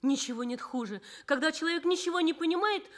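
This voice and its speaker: An actress playing a warm, earnest young nurse in a Soviet radio drama, speaking with tender insistence. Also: female voice, high-pitched, lively intonation, fast talker. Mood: earnest reassurance.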